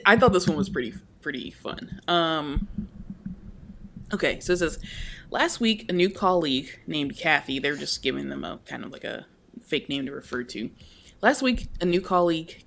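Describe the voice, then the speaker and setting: A woman co-hosting a casual podcast, reading a forum post aloud with frequent laughs and chatty asides. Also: low-pitched. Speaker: podcast co-host.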